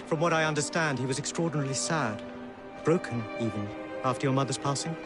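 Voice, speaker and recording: An actor playing a dignified, shrewd exiled Indian king in a video game, speaking in a formal, measured, courtly way. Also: mid-range voice, natural voice. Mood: warm, grave. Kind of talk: video game cutscene acting. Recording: loud music behind the voice.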